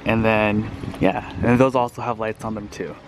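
A man in his thirties vlogging casually into a handheld camera, chatty and excitable, talking straight to his viewers. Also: fairly low pitch, normal speed, noisy background. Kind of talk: casual vlog narration. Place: car, outdoor yard and thrift store.

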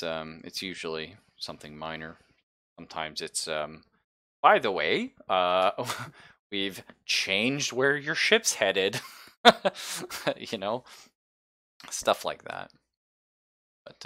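A man's voice: sits low, bright, forceful tone. A man narrating a tabletop roleplaying game as game master in a casual, informal, sweary way. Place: online game session, streamed.

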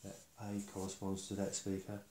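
Older male woodworker, fairly low-pitched, unpolished and matter-of-fact, explaining his work aloud in a reverberant room. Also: monotone, mellow. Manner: halting, matter-of-fact, thinking aloud while working. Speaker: woodworker demonstrating his build. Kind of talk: casual step-by-step demonstration. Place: concrete-block workshop and house room. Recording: echoey room, faint noise, handling clicks.

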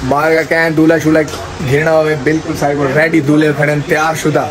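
A man's voice: fairly high pitch, level tone, quick pace, over music. Playful young man with a Saraiki accent. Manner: playful, teasing, laughs often. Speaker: vlogger host. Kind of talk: joking street vlog banter. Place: outdoor courtyard.

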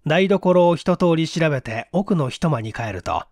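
A male audiobook reader narrates a mystery with theatrical flair. His voice sits high and swings widely in pitch. The sound is dry, with hardly any room. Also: lively intonation, unhurried, a little mellow, no room sound. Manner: theatrical, acts out each character's dialogue. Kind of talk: dramatic scripted narration of a mystery story.

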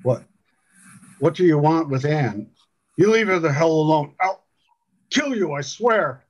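A man's voice: on the high side, animated, measured pace. A man reading the part of a chained, wounded captive in a story, strained, pleading and defiant. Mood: desperate anger.

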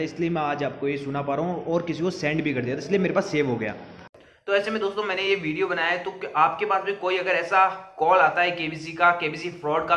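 High-pitched young man, bright voice. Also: a little hiss or hum. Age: young adult. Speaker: video creator. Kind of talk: explanatory talk to camera, cautionary. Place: small indoor room with plain wall. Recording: roomy indoor echo.

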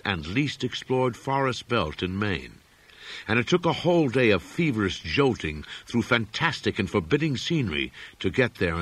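A male audiobook narrator with a lowish, husky voice reads a horror story. His delivery is dramatic and literary, with animated rises and falls in pitch.

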